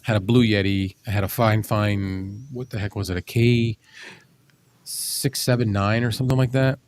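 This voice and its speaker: A man's voice: lowish voice, fairly even intonation, normal speed, darkish tone. A man chatting easily on a podcast, explaining microphones from his own experience. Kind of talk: casual chat about microphones.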